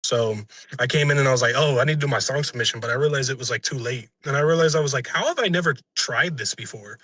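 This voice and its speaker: A young man with a raspy voice, a musician being interviewed, chatting casually and earnestly in slang and profanity, often backtracking. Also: mid-range voice, bright, forceful tone, no echo. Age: young adult.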